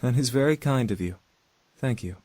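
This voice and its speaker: A man's voice: medium pitch, animated, moderate pace, darkish tone, dry recording, close to an everyday voice. A man voicing a polite, scholarly male demon in a visual novel, speaking in a formal, courteous, explanatory way. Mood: courteous, apologetic. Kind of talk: visual novel character acting.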